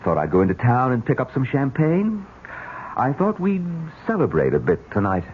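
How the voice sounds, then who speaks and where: A genial, smooth man with something to hide in a vintage radio drama. His delivery is animated, with wide swings in pitch. The broadcast sounds thin, like a phone line, with clear noise underneath. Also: moderate pace. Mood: breezy, pleased. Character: smooth, genial man hiding a guilty secret. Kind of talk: 1970s radio drama acting.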